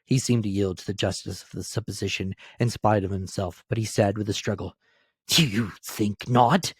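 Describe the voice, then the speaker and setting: A man's voice: fairly low pitch, fairly even intonation, a little fast, a little mellow, breathy edge, dry recording, clean studio sound. A man narrating a classic story as a dramatic scripted reading. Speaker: narrator, reader.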